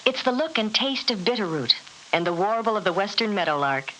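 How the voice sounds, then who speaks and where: A woman narrates a scripted travel piece in a measured, polished way. Her voice has a medium pitch, and there is faint hiss from an old radio recording. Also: lively intonation, sharp tone, poor audio.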